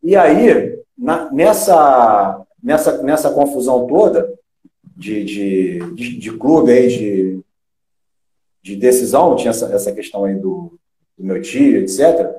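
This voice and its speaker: A middle-aged man from Rio de Janeiro with a Carioca accent, a former footballer chatting as an interview guest, halting with many restarts and fillers. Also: mid-range voice, expressive, mellow. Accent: Carioca Brazilian Portuguese. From Rio de Janeiro, Brazil. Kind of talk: casual interview chat. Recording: echoey room.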